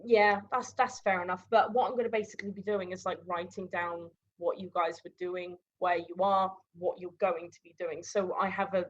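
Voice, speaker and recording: A woman running a tabletop game as game master, narrating casually in halting, improvised, informal descriptions. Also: lowish voice, steady tone. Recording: dull lo-fi audio.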